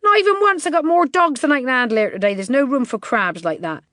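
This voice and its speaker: A curt, impatient man who runs the dog races, put on by a woman reading aloud. He barks his words high and loud, waving people off. The sound is dry and close. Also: lively intonation, fast, no echo. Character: brisk dismissive male dog-race organiser.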